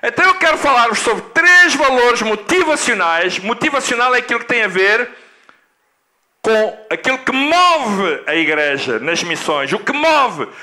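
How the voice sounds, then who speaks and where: A middle-aged man from Angola with a European Portuguese accent, preaching a sermon as a guest pastor. He speaks slowly and deliberately, repeats key phrases, and builds to emphatic peaks. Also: extremely high pitch, very animated, bright, forceful tone. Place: church hall.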